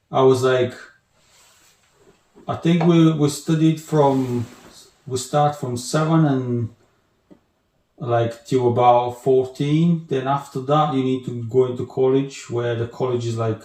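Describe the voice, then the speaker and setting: A man from Bulgaria with a Bulgarian accent, chatting as an interview guest in a halting way with restarts and many fillers. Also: mid-range voice, fairly even intonation, mellow, roomy sound. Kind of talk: casual interview chat.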